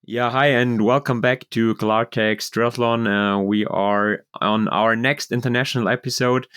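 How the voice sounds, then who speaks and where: Male podcast host, German native speaker, clean resonant tone, chatty and halting. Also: mid-range voice, fairly bright tone, clear, resonant tone. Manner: friendly, halting, many fillers. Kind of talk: casual interview hosting.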